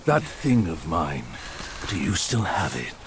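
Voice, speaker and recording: Ruthless villain master, very slow, very deep, commanding, heavy background noise. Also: male voice, sing-song, exaggerated voice. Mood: cold. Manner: commanding, cold and menacing.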